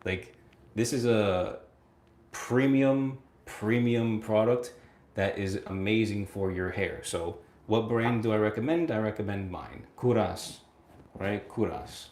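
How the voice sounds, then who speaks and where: A young man in his late twenties chats through a livestream, reading out viewer comments and answering them. He speaks a little low, laughs often, repeats himself and keeps checking in with "right?". The sound rings around an echoey room. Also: slow, a little mellow. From United States. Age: 20s. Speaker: livestream host.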